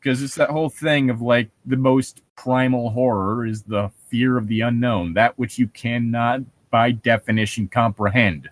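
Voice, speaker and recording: A man's voice: mid-range voice, steady tone, normal speed, hardly any pauses. A man chatting casually as a podcast co-host, rambling and swearing freely as he riffs on horror stories. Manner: rambling, profane. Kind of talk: casual chat, riffing commentary on horror stories.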